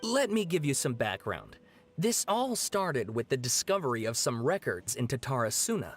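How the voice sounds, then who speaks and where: An earnest young researcher lays out the background patiently, as a man voices him in a video game. His pitch sits in the middle but moves lively across sentences. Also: normal speed, faint music behind, lightly acted. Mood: explaining. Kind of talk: game voice acting.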